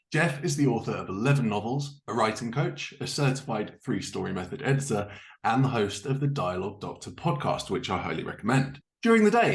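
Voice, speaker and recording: A man hosting a podcast, presenting prepared updates and introductions. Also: medium pitch. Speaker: podcast host.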